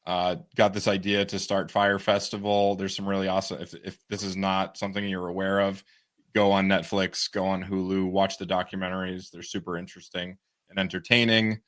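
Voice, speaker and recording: A man's voice: sits low, little pitch movement, a little fast, fairly bright tone. A man co-hosting a casual news podcast, talking in an easygoing way and laughing often. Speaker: podcast co-host.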